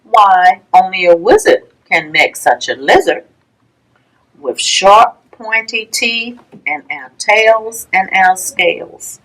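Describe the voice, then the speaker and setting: A woman reading a rhyming children's picture book aloud, with a measured sing-song delivery and pauses between phrases. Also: medium pitch, lively intonation, a bit bright, poor audio. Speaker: library storytime reader.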